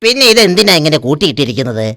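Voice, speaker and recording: A man's voice: a little high, big pitch swings, racing, pushed and bright, dry recording, clean studio sound, full character voice. A man voicing a wheedling, sneaky freeloading customer in a cartoon. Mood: surprised, cheeky. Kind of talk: cartoon voice acting.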